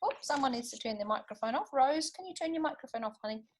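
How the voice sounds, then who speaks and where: A woman's voice: sits high, lively intonation, quick pace, a little mellow. A woman with a New Zealand accent reads a picture book to children expressively, adding chatty asides and questions for her listeners. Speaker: storytime reader for children.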